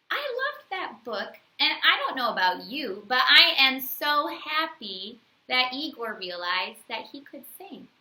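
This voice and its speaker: A woman reading a bedtime story aloud to young children, expressively, with teacherly questions and pauses. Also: medium pitch, pushed and bright, echoey room.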